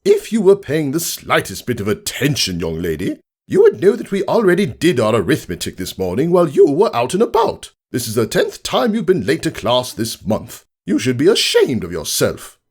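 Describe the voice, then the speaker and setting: A man's voice: medium pitch, wildly swooping pitch, normal speed, hardly any pauses, darkish tone, husky, voice pushed up, exaggerated voice. A man voicing a stern, contemptuous old female pony schoolteacher with a clipped, scolding, superior manner in a storybook reading.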